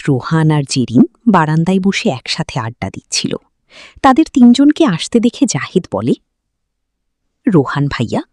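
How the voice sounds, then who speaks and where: A woman's voice: on the low side, darkish tone, dry recording, studio quality. A woman storyteller reading a romantic story expressively. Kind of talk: expressive narration of a romantic story with character dialogue.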